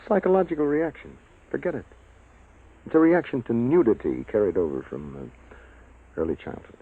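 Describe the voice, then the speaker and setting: A man playing a casual, reassuring army major with a husky voice in 1950s radio drama style. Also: medium pitch, lively intonation, normal speed. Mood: reassuring, dismissive. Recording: muffled phone-like old radio recording.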